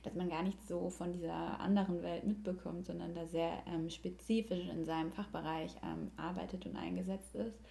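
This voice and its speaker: A woman's voice: lowish voice, fairly even intonation, normal speed, vocal fry, hardly any pauses, warm tone. A young woman chatting casually and reflectively as a podcast guest. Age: young adult. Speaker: podcast guest, yoga teacher and coach.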